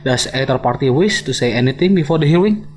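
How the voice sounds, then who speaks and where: A man's voice: medium pitch, animated, fast, natural voice. A man playing an impartial, officious hearing convener in a radio drama. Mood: formal. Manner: formal, officious.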